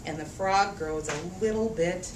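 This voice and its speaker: Woman reading a storybook in an echoey room. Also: fairly low pitch. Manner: expressive, rhythmic reading with drawn-out phrases and questions to children. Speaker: teacher reading a picture book to young children. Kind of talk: interactive storybook read-aloud. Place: echoey room with a group of children. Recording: echoey room, distant mic, faint noise.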